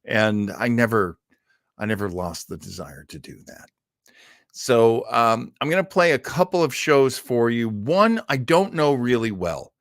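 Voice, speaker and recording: A man's voice: mid-range voice, lively intonation, measured pace, no echo, clean studio sound. A man hosting a show in a casual, rambling, self-deprecating way with chuckles. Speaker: host of a horror radio show livestream. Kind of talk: casual chat.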